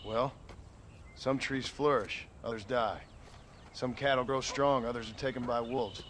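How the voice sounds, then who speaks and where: Guy doing a gruff american cowboy dad, tired ex-outlaw, dry and terse, game cutscene, bit of noise. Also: mid-range voice, fairly even intonation, unhurried, a bit bright, close to an everyday voice. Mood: weary. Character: weary, tough former outlaw rancher and father. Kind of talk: cinematic video game acting.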